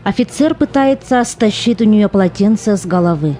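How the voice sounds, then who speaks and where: A woman's voice: medium pitch, lively intonation, moderate pace, dark tone, lightly acted. A woman narrating a war audio play in a descriptive storytelling manner from a script. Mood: narrative. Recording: music under the voice.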